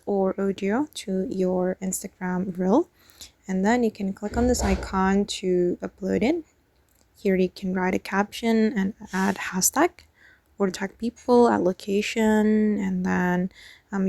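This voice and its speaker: A woman's voice: medium pitch, creaky, darkish tone, no echo. A woman hosting a step-by-step how-to tutorial as a spoken voice-over. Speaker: tutorial host.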